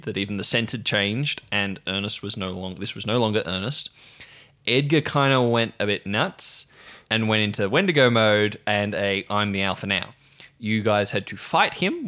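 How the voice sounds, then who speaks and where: A man's voice: lowish voice, a bit bright. A man narrating as game master of a tabletop role-playing game, chatting casually between stretches of story.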